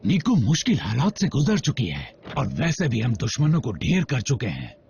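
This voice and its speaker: A big friendly cartoon robot, earnest and loyal, with a gravelly creak and lively intonation. The audio is slightly dull, with noise behind. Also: male voice, medium pitch, moderate pace. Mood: friendly, conciliatory. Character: good-natured, loyal big robot soldier, a peacemaker. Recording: dull sound with background noise.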